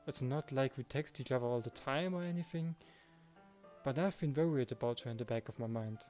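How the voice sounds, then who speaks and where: A man's voice: medium pitch, a little fast, a little mellow. A man reading first-person visual novel narration and the protagonist's lines in a dramatized way, with music behind him.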